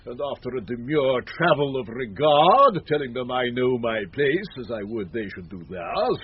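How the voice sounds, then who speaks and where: An actor playing a pompous, self-loving steward lost in grand daydreams, savouring each word in a vintage radio Shakespeare comedy. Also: male voice, medium pitch, animated, moderate pace, slightly rough. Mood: haughty, self-important. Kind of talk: 1970s radio Shakespeare acting. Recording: dull vintage radio recording with faint hiss.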